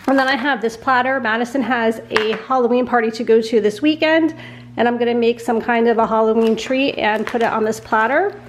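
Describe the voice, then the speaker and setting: A middle-aged woman vlogging casually at home with a nasal, congested voice and a sore throat, chatty and quick to laugh. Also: fairly high pitch. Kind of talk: casual chat to camera.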